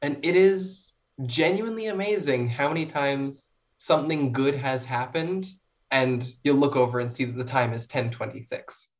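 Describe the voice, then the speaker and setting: A woman's voice: very deep voice, normal speed, darkish tone, muffled recording. A young woman talking casually as a podcast guest, in a chatty, informal and self-reflective way. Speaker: podcast guest, short story writer. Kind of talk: casual interview chat.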